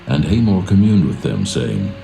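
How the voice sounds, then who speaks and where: A man narrating scripture in a dramatised reading, solemn and measured. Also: rumbling low, sing-song, gravelly. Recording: roomy echo, soft music behind.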